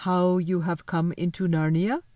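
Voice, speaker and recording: A woman voicing a polite, fussy, slightly melancholy male faun who speaks slowly and formally. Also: on the low side, level tone, dark tone, dry recording, close to an everyday voice. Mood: wistful. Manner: courteous, slow and formal.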